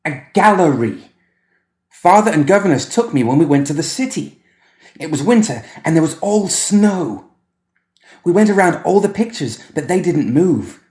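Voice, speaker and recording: A man's voice: fairly high pitch, sing-song, moderate pace, a little mellow, reverberant, voice pushed up. Man voicing a piping, imperious little boy. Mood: excited, bossy. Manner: precise childlike speech, bossy. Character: strange imperious little boy, petulant and excitable.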